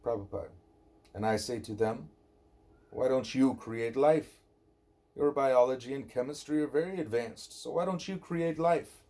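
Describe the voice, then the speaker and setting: A man reading a scripted spiritual dialogue aloud as an audiobook narrator, deliberate and emphatic on rhetorical questions. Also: medium pitch, mellow. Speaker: audiobook reader.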